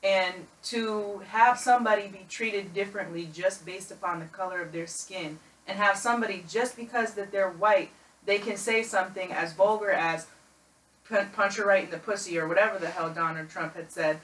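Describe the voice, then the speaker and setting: A woman's voice: mid-range voice, moderate pace, fairly bright tone, far from the mic. An emphatic young woman argues her points in a casual group podcast. She sits away from the mic, so her voice reaches it with a roomy echo. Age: young adult. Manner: passionate, emphatic. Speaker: guest.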